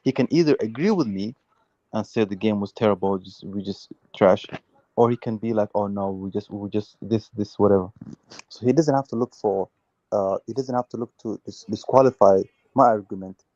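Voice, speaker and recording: A man with a fairly low voice making his case in a heated live football chat. He restarts and repeats words as he pushes his point. The sound is dry and slightly dull, like a remote call. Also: normal speed, warm tone, dry recording. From Uganda. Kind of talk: heated casual football debate.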